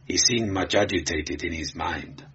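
A man's voice: low voice, unhurried, bright, forceful tone, breathy edge, dull audio, pitched below the performer's own voice, full character voice. A man voicing a bitter, sullen enslaved charioteer who answers plainly in an audiobook story. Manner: sullen, matter-of-fact. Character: bitter, sullen male slave charioteer, unafraid.

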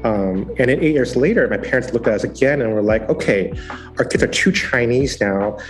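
A man's voice: medium pitch, animated, brisk, soft background music. A young adult man telling his life story in a casual, earnest way, acting out what he and others were thinking. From born in San Francisco, raised partly in Hong Kong. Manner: earnest storytelling with acted-out inner quotes. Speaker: guest, former software engineer turned digital missionary. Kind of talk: casual personal testimony.

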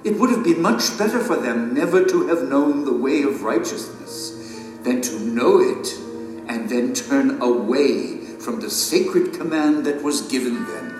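An actor playing a solemn sage in an audio drama, reciting ancient writings in a grave, formal, measured way. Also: male voice, medium pitch, lively intonation, natural voice. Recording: distant, echoing hall with faint music.

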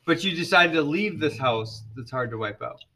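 A man's voice: fairly high pitch, lively intonation, moderate pace, bright, forceful tone. A man hosting a podcast with his ESL class, joking and teasing students in casual chat.

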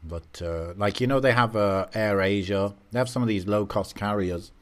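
A man's voice: on the low side, moderate pace. A man co-hosting a podcast, chatting in an easygoing, musing way with plenty of fillers. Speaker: podcast co-host. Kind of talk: casual chat.

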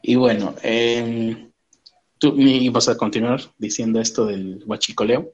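A man's voice: medium pitch, fairly even intonation, measured pace, a little mellow, muffled recording. A man, chatting casually, asking questions and joking lightly. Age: 30s. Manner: conversational, asks questions, jokes lightly. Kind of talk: casual chat.

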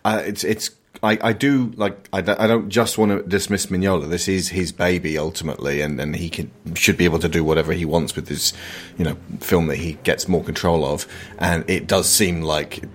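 A man's voice: low voice, steady tone, fairly quick. A man hosting a casual film discussion, giving his opinions and wandering into digressions. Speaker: podcast host discussing films.

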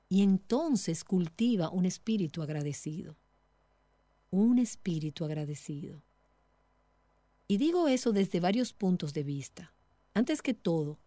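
Mellow middle-aged woman teaching devotionally. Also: fairly low pitch, no echo.

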